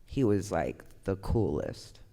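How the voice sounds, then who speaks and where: A woman artist giving a candid, conversational talk, speaking in an informal, emphatic and expressive way. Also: rumbling low, slow pace, creaky. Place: indoor gallery talk, reverberant room. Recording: echoey hall with long reverb.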